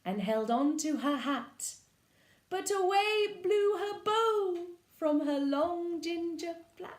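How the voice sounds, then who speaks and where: Brief: storyteller woman, high and lively, unhurried, echoey room. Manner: animated, lively expression. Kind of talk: reading a children's picture book aloud.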